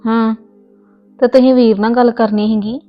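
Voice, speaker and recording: A woman's voice: on the high side, little pitch movement, moderate pace, highs cut off, faint music behind, close to an everyday voice. A woman playing a stubborn young wife in a family skit, scolding and insistent. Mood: soothing, scolding. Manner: scolding, insistent, voice rising in argument. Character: young married woman, stubborn, quick to argue. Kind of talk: scripted family comedy skit.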